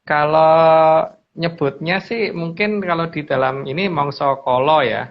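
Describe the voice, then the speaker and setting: A man's voice: fairly high pitch, measured pace. A man with a Javanese accent explaining things casually and hesitantly, often qualifying what he says. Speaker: regular guest speaker explaining lore. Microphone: online video call. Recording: dull, muffled call audio.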